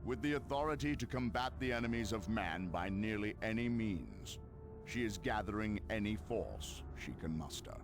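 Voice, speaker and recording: Stern, ominous sci-fi game narrator: deep, creaky, measured and solemn. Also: male voice, sing-song, over music. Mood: solemn foreboding. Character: grave male Imperial narrator, stern and foreboding. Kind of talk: dramatic scripted game narration.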